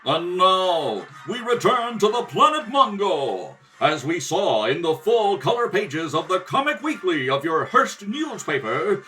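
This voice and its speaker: A man's voice: high-pitched, sing-song, unhurried, hardly any pauses, bright, forceful tone, recorded from a distance, faint music behind, poor audio. A man giving theatrical, dramatic narration in the style of an old-time radio adventure serial. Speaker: narrator, rehearsal reader. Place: kitchen table at home. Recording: home recording, slightly off the mic.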